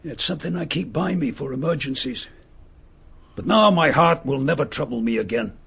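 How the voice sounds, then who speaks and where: A man's voice: on the high side, animated, normal speed, creaky, fairly bright tone, husky, full character voice. An actor playing a bitter, mocking male murderer who posed as a lord, in a radio drama, speaking. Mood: sneering. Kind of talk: vintage radio drama acting.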